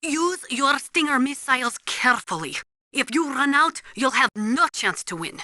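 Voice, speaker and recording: A serious female weapons analyst from a video game. She is precise and matter-of-fact, slightly high and bright, in a dry studio sound. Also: animated, moderate pace, dry recording. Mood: expert, explanatory.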